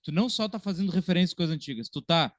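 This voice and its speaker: A man's voice: a little high, expressive, racing, no echo. A man with a southern Brazilian accent in a casual film discussion as a podcast panelist, talking in an informal, slangy way.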